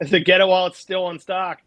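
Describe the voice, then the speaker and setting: A man's voice: sits high, little pitch movement, normal speed, bright, forceful tone, dry recording. A man from the United States speaking as a musician guest in a casual interview, easygoing and agreeable.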